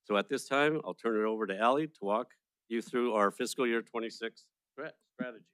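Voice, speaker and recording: A man's voice: mid-range voice, fairly quick, a bit bright, clear, resonant tone, dry recording. A man chairing a tourism board, giving formal prepared remarks to a city council from a podium microphone. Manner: formal and courteous, read from notes. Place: council chamber.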